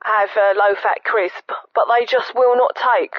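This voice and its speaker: A woman's voice: medium pitch, fairly even intonation, measured pace, no echo, close to an everyday voice. A woman playing a harassed, blunt, defensive mother calling a comedy radio phone-in. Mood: exasperated. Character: harassed, unfussy mother of five phoning radio. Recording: dry, dull phone-in line.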